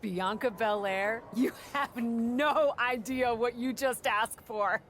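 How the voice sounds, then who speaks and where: A woman's voice: fairly high pitch, slow pace, a bit bright, a little hiss or hum. A woman playing a confident, determined indie pro wrestler with a wry, self-assured manner in video game cutscene dialogue.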